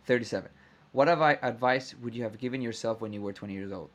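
A man hosting a podcast, chatting casually and warmly echoing his guest's words back. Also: mid-range voice, fast talker. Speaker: podcast host. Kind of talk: casual interview chat.

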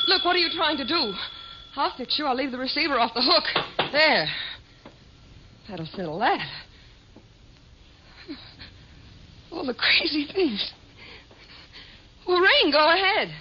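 An actress playing a frightened, nervous woman with a secret, halting and stammering, in a 1950s radio drama. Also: sits high, big pitch swings. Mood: frantic. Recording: muffled vintage radio recording, hissy.

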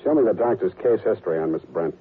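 An actor playing a tough, wry private detective who narrates and questions bluntly in 1940s radio style. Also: male voice, low voice, animated, fast, slightly rough, exaggerated voice. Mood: brisk, probing. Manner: clipped, blunt, matter-of-fact. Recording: muffled old radio recording, loud music.

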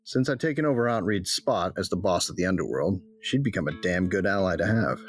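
Very deep-voiced man narrating, laid-back. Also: lively intonation, brisk, breathy edge, no echo, faint music behind. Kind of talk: audio drama narration.

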